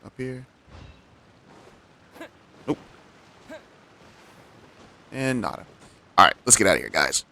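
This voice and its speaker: A man's voice: lowish voice, sing-song, slow pace, gravelly, pauses often, bright, forceful tone. A man streaming a video game, reacting casually in informal, slangy speech.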